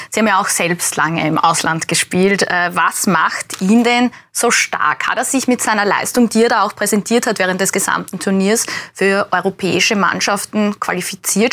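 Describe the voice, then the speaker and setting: A woman's voice: mid-range voice, fairly bright tone. Female news presenter, mid-range voice, polished and businesslike while interviewing in a studio. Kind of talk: broadcast interview.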